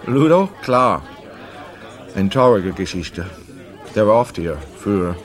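An actor playing a friendly, easygoing pub bartender in a German radio drama, unhurried and slipping in English phrases. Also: male voice, lowish voice, sing-song. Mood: relaxed, regretful. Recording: bar music and crowd behind.

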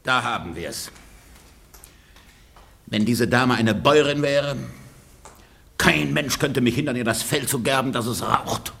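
An actor playing a rich, disgruntled peasant husband in a German radio play, blunt, grumbling and coarse-spoken. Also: male voice, medium pitch, a little slow, gravelly, pauses often, a bit bright. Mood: bitter.

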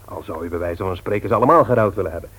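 A man's voice: low-pitched, racing, fairly bright tone, a little hiss or hum. An actor playing a polite, obliging bookshop owner in a radio play, with hesitant salesman's patter. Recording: old radio drama recording.

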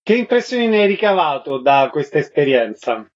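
A man's voice: a little high, big pitch swings, brisk, breathy edge. A man hosting a conversational radio interview, asking questions and addressing the guest. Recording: slightly dull, lo-fi radio sound.

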